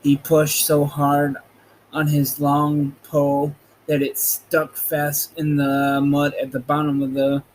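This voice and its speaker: Guy reading a storybook out loud, kinda slow and stumbly, higher voice, bit of noise behind. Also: completely flat delivery, poor audio. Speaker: host reading a book aloud. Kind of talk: amateur storybook reading with casual asides. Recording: noticeable background noise.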